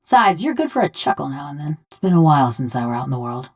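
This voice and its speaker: Vengeful female golem, low pitch, drawl, wry, plain-spoken, dryly mocking, video game dialogue. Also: big pitch swings, fast, a little mellow. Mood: wry fondness. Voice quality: low-pitched, folksy rural speech. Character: rustic, sardonic, vengeful female killer's soul in a golem body.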